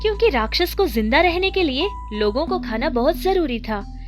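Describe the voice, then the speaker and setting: High-pitched woman narrating children's stories. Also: expressive, normal speed. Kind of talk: scripted children's story narration. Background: music.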